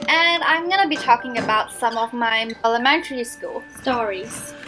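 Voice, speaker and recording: Cantonese-accented young woman over game music. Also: fairly high pitch. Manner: chatty, with fillers and restarts. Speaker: gaming vlogger. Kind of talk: casual storytime over gameplay.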